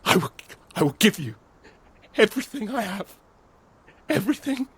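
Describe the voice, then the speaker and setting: An actor playing an injured, desperate father in a first-person audio drama, halting as he speaks. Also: sits high, sing-song, a bit bright, husky, exaggerated voice. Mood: desperate, pleading. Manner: halting, repetitive, pleading in dialogue. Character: injured, desperate father pleading to save his family.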